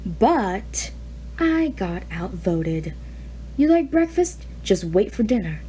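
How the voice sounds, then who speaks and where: Offhand busy inventor guy, mellow tone; woman reads it. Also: medium pitch, big pitch swings, slow pace, a little hiss or hum. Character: brisk, busy grown man inventor.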